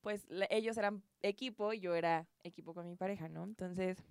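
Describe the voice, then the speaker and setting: A woman's voice: lowish voice, fairly even intonation, brisk, a little mellow, clean tone, no echo, clean studio sound. Young Mexican woman chatting casually. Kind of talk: casual chat and personal anecdotes among friends.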